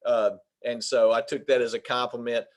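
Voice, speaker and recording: A man with a Southern Arkansas accent, an attorney giving a webinar presentation on employment law in a folksy way, pausing often on filler sounds. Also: medium pitch, level tone. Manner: folksy, many filler pauses.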